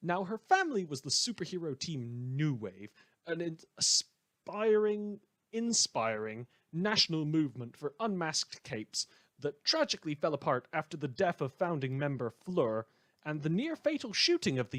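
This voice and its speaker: A man's voice: fairly high pitch, fairly bright tone. A man playing a chatty, blithely amoral small-town radio host who rambles and corrects himself through a satirical news broadcast. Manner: rambling, cheerfully flippant, self-correcting.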